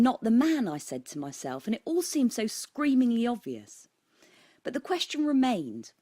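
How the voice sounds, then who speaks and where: Mellow British woman reading an audiobook. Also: medium pitch, no room sound, studio quality. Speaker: author reading own audiobook. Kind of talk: scripted audiobook narration.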